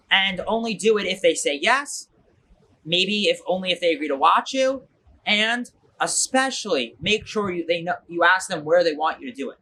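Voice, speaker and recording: A man's voice: high-pitched, bright, forceful tone. A young man hosting a science activity, casually giving instructions to young viewers.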